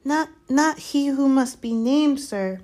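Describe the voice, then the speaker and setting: Woman putting on a squeaky, servile little male elf. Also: takes it slowly, mellow. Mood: fearful, urgent. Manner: squeaky, speaks of self in third person. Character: small excitable male house-elf, servile, reverent, fearful. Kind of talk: dramatised storybook reading.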